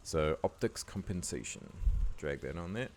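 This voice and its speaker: A man with a croaky voice giving a laid-back, casual editing tutorial, talking loosely with lots of fillers. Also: a bass voice, expressive, moderate pace, a little mellow, a little hiss or hum. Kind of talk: casual screen-recording tutorial voice-over.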